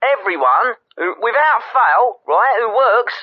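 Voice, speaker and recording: An actor playing a cocksure ordinary bloke phoning a spoof radio show, chatty, insistent and needling. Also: male voice, extremely high pitch, sing-song, a little slow, breathy edge, dry recording, full character voice. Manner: insistent, chatty, tags phrases with "right". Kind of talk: spoof radio phone-in character comedy. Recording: dull telephone caller line.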